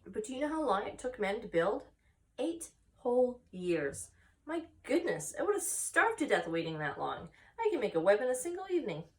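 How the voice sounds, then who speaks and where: A wise female spider from a children's book, played by a woman reading aloud, frank but kindly. The delivery is instructive and very varied in pitch, recorded slightly away from the microphone. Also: very animated, normal speed, far from the mic. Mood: wry. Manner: instructive, matter-of-fact.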